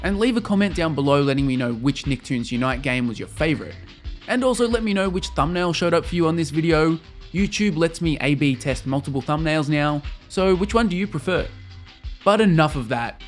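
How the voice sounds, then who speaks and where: A man's voice: on the high side, lively intonation, brisk. Man narrating a scripted review over music. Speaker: video host and game reviewer. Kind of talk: scripted video essay narration.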